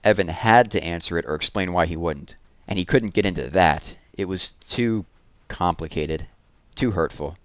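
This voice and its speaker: A man narrating a children's chapter book aloud as an expressive storyteller. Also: sits low, normal speed, clear, resonant tone, dry recording.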